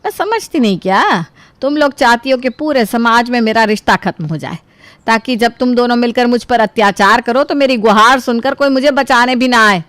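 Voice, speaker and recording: Woman acting nagging, high-pitched Indian mother-in-law. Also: normal speed, a bit bright, no echo, close to an everyday voice. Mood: aggrieved, sarcastic. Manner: sarcastic, scolding, dramatic. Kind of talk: animated story character dialogue.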